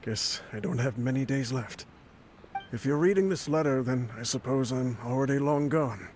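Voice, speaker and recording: An actor voicing a frail, ailing older man writing a farewell letter, dry and joking with soft laughs. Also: medium pitch, normal speed, a little hiss or hum, lightly acted. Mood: wry. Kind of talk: game voice acting.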